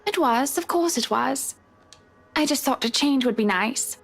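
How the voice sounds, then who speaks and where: Guy doing a demure game wife, high breathy falsetto, evasive. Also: expressive, unhurried, a bit bright, faint music behind, exaggerated voice. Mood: coy.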